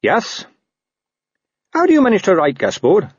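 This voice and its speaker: A man's voice: high voice, huge pitch swings, unhurried, husky, no echo, dull audio, voice pushed up, exaggerated voice. A man voicing a polite, earnest policeman who coaxes with mild, innocent reasonableness in an audiobook. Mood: mild, coaxing. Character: earnest, polite, slyly persuasive male policeman.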